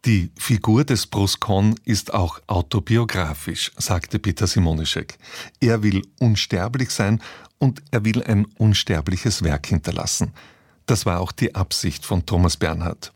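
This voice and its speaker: A man's voice: fairly low pitch, measured pace, darkish tone, slightly rough, no room sound, clean studio sound. A man presenting on radio, reading a scripted introduction. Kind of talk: scripted narration, broadcast introduction.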